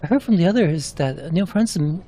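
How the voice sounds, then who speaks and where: A man's voice: on the high side, expressive, brisk, warm tone. A man voicing a guarded, anxious young woman in a fantasy game, speaking formally and warily. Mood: wary, anxious. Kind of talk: amateur narrated fantasy game cutscenes. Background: music.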